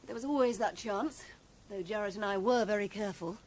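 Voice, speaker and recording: A woman's voice: mid-range voice, a little fast, natural voice. An actress playing a cool, guarded woman in a radio drama, composed, formal and matter-of-fact. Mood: cool, businesslike.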